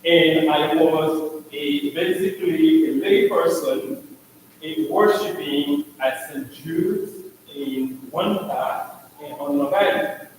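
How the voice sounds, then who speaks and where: A Haitian-accented priest, middle-aged, addresses his church in a slow, halting way, his pitch rising high and swinging widely. He sounds far from the mic, with a long echo filling the large hall. Faint room noise sits behind him. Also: big pitch swings. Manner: slow, halting, with many restarts and fillers. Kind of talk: introducing himself to the congregation.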